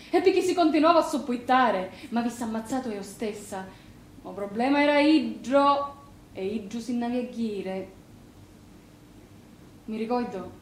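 High female voice, Sicilian accent, actress as long-suffering defiant widow, theatrical dialect acting, roomy space. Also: a little mellow, a little hiss or hum, close to an everyday voice. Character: battered younger Sicilian sister, newly widowed, bitter, defiant.

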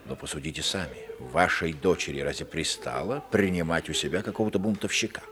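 An actor playing a sly, confiding husband in a radio play. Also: male voice, deep voice, a little fast. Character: smooth, sly husband with secrets.

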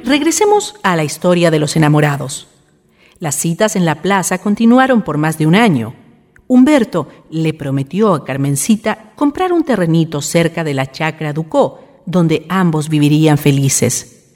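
A woman's voice: low-pitched, very animated, normal speed, a little mellow. A woman with a Chilean accent reading scripted narration for a radio drama. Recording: music bed behind narration.

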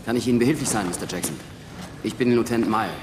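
A man plays a young American lieutenant in a radio drama, deferential but cheeky. He speaks quickly in a lowish voice. The space around him is echoey. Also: lightly acted. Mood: dutiful, polite. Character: eager, cheeky young American army lieutenant, liaison officer. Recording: echoey hall with noisy ambience.

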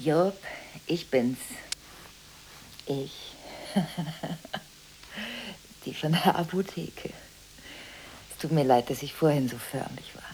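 An actress playing a volatile, emotionally contradictory married woman making frantic phone calls in a radio drama, swinging abruptly between hushed and agitated delivery. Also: low-pitched, takes it slowly, long pauses, slight background noise, poor audio. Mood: hushed, conspiratorial. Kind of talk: 1980s German radio drama monologue.

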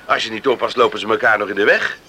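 An actor playing a hearty, approving young country brother in a Dutch radio drama, speaking emphatically. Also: male voice, on the low side, fast talker, bright, forceful tone, a little hiss or hum. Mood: keen.

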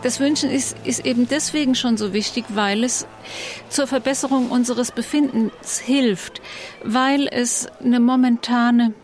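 A woman's voice: fairly high pitch, steady tone, darkish tone, slight background noise. A woman giving a considered opinion as an interviewee, in formal, carefully argued spoken sentences. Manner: formal wording, measured argument. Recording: music behind the voice.

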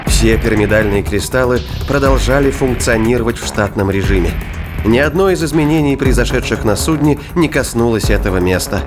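A man's voice: mid-range voice, fairly quick. A man giving scripted, dramatic narration for a science fiction audio drama. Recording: studio narration over music. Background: clear music.

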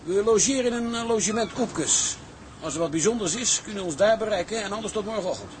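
A plain-spoken seaman with a fairly high voice for a man. He weighs things up in a measured, understated way in a radio play, over faint noise. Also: lively intonation. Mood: polite, businesslike. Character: plain-spoken male seaman, appraising business partner. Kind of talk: Dutch audio drama dialogue.

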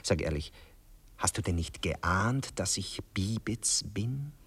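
An actor playing a vain, rapturous poet wooing his lover in an Austrian accent, theatrical and breathy, in a 1960s radio play. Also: lowish voice, expressive, takes it slowly, a bit bright, full character voice. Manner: theatrical, breathy murmurs, swooning declamation.

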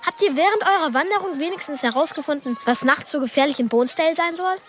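A woman's voice: high-pitched, quick pace, slight background noise, natural voice. An actress playing a cheeky, inquisitive schoolboy in his early teens in a radio drama.

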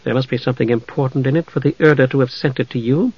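A man voicing a shrewd, spirited female magic user, hushed and brisk, in a storybook narration. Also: mid-range voice, breathy edge, highs cut off, close to an everyday voice.

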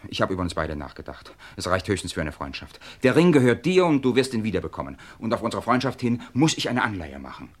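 A man's voice: fairly low pitch, sing-song, fast talker, few pauses. An actor playing a tense, cynical man living under a false identity in an old-style crime radio play, speaking with urgency and bitterness. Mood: resigned, firm. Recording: music behind the voice.

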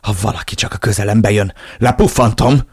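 A man voicing a trembling, desperate young man who shouts in a shaky, frantic way. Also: lowish voice, steady tone, husky, dry recording, clean studio sound.

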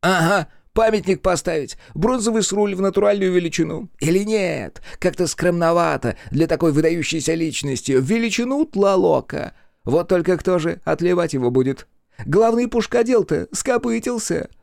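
Man playing a gleeful, taunting centaur jester, swooping high. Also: expressive, normal speed, vocal fry, hardly any pauses, a little mellow, no echo, studio quality. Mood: sarcastic glee. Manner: sly, taunting, theatrical. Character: cheerful mocking male centaur jester, clownish and gleeful.

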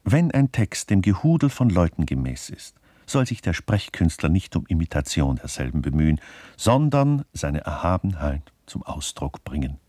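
An actor playing a wry, eccentric playwright dictating stage directions in a deliberate, articulate radio play reading. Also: male voice, low-pitched, very animated, dark tone, no echo. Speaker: speaker reading the author's part.

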